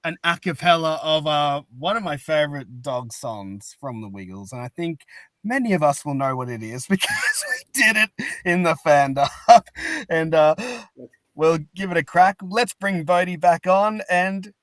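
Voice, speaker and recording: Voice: medium pitch, big pitch swings, normal speed, a bit bright, dry recording. A podcast host chatting casually in an effusive, sentimental way. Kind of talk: casual chat.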